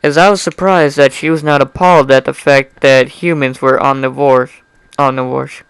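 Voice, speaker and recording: A man's voice: medium pitch, level tone, moderate pace, clear, resonant tone, no room sound, low-quality recording. A man doing an informal dramatic story reading with casual asides, stumbling over words and correcting himself. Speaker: amateur fan fiction reader.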